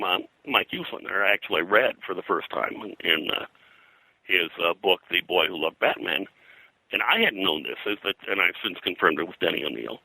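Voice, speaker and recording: Older guy, really low forceful voice, super dry sound, halting interview rambling, clears his throat a lot. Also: dry recording, poor audio. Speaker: interview guest, comics historian. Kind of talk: reminiscing in a casual interview.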